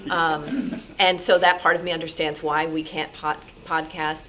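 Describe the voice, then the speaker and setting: A woman speaking informally and animatedly as a radio programmer answering questions, halting as she thinks aloud, recorded live at a meeting. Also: low voice, level tone, fairly bright tone. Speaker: radio show programmer taking audience questions. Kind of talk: informal talk with audience questions. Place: club meeting room.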